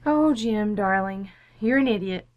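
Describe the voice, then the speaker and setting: A woman playing a patient, polite and gently teasing wife and mother in an old-time radio comedy. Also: mid-range voice, unhurried, lo-fi sound, close to an everyday voice. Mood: gently firm. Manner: polite, soothing, dryly teasing.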